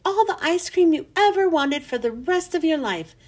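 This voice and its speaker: A woman's voice: very high-pitched, animated, moderate pace. A woman voicing a greedy, pushy schoolgirl who coaxes and makes dramatic warnings in a storybook reading. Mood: scheming.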